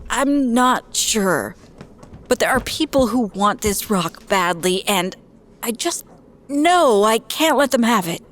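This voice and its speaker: A woman's voice: on the high side, expressive, takes it slowly, slight background noise. A woman playing an anxious, secretive young woman in an audio drama, hesitant and earnest. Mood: guarded, earnest.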